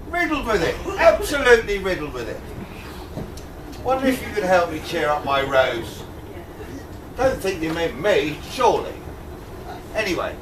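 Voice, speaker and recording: A man playing a forgetful, jokey pantomime king, coaxing and bantering with the audience in a theatrical way. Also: high voice. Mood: jokey, mock grumbling. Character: forgetful, jokey pantomime king fussing over his rose. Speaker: amateur pantomime actor. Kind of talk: live pantomime, addressing the audience. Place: indoor tavern stage with live audience. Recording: echoey hall from far off, audience noise.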